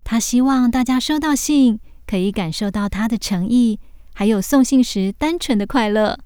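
A woman narrating a scripted children's story in an expressive storytelling manner. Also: a little high, normal speed, smooth.

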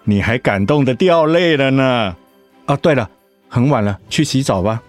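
An actor playing a devoted, teasing middle-aged husband in a radio drama, with a Taiwanese accent and a coaxing way of talking that easily gets flustered. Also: male voice, mid-range voice, animated, moderate pace, faint music behind, close to an everyday voice. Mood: reflective, tender. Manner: coaxing, stammering when cornered.

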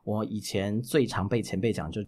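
A man from Taiwan, chatting casually as an interview guest and explaining things with clear articulation. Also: on the low side, steady tone, moderate pace, darkish tone. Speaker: guest, voice actor.

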